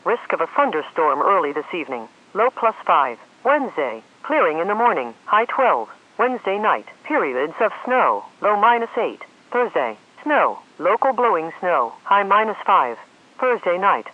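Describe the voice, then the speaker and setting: A woman's voice: fairly low pitch, big pitch swings, normal speed. A woman's synthetic voice reads scripted forecasts and climate summaries as an automated weather announcer, in a formal style. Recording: dull lo-fi weather radio audio.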